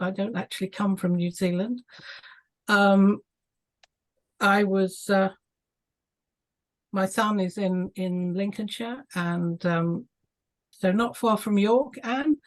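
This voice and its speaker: A woman talking casually on a video call, chatty and full of ums and small laughs. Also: medium pitch. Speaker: club member on a video call.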